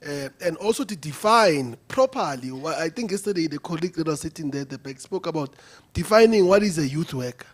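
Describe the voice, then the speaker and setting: A man's voice: a little high, expressive, a little fast. Hesitant man at conference. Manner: hesitant, many fillers. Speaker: conference delegate. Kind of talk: floor comment at a conference. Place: conference venue.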